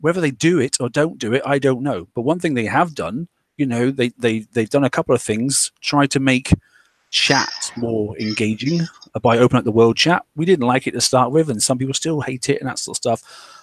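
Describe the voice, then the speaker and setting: A man's voice: mid-range voice, a little fast, no echo. Rambling man, dry close mic. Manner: rambling, many fillers and restarts. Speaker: podcast host. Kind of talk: casual group discussion.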